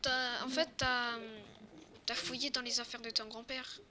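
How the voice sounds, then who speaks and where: A woman's voice: a little high, level tone, normal speed, crowd noise. A woman improvising a roleplay during a video game, informal and hesitant with many fillers. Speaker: player in a Fortnite zombie roleplay.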